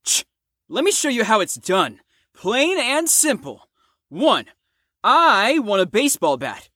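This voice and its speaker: Cocky, crude teen boy for audio drama, played by a man: bright, unhurried, swooping slangy delivery. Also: high voice, sing-song, no echo, studio quality, heavily acted. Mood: smug. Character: cocky, crude, slacker fifteen-year-old boy, proud older brother.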